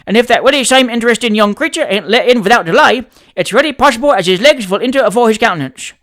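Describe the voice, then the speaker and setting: A reader voicing a cheeky young male manservant who talks in a dogmatic, forceful, wordy way and drops letters in working-class dialect. The figure stays stubborn throughout. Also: medium pitch, lively intonation, fast, voice pushed up, close to an everyday voice.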